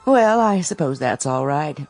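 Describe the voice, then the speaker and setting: A woman's voice: sits low, animated, a little mellow, soft background music. A middle-aged woman in a radio drama playing a mother, speaking in a languid, indulgent way. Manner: languid, indulgent, slow-spoken.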